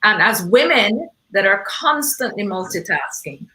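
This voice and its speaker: A woman with a Jamaican accent and a bright, forceful voice hosts an event discussion. She speaks off the cuff, effusively and with emphasis, at an average pitch. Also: animated, measured pace, slight background noise, low-quality recording. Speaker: event host. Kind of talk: unscripted panel discussion talk.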